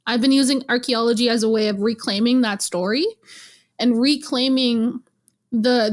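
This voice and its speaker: A young woman from Canada, a guest in an interview, telling stories in a lively, teasing way and laughing often. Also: fairly high pitch. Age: young adult. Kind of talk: casual interview.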